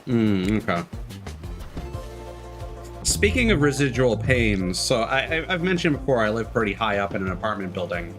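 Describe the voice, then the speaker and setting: Low-voiced male game streamer, rambling. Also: very animated, fairly bright tone. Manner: rambling, halting, with long pauses. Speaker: livestreamer. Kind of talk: casual chat while playing a game. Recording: faint game music behind. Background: game music.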